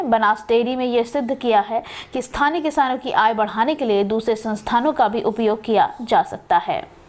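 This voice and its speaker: A woman news presenter reading a scripted news bulletin. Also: on the high side.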